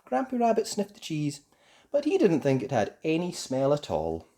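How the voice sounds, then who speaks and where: A woman reading a children's story aloud as an expressive narrator. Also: deep voice, moderate pace.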